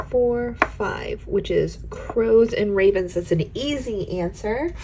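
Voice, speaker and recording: A young woman with a hoarse voice, recovering from an illness, chatting casually in a reading wrap-up vlog and counting dice rolls aloud at home at night. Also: medium pitch, background noise. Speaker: book vlogger.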